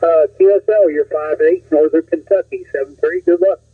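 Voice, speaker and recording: A man's voice: fairly high pitch, fairly even intonation, normal speed, dry recording. A man calling in over the radio with short, clipped replies. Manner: short clipped call-sign exchange. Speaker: radio caller. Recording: tinny, distant radio reception.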